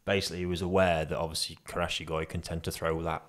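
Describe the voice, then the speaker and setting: A man's voice: deep voice, little pitch movement, fast talker, a bit bright. A man in his thirties, co-hosting a podcast, talking in a rambling, enthusiastic way with many restarts. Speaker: koi dealer and co-host. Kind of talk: casual podcast chat. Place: small studio room with posters. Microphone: studio podcast mic on arm.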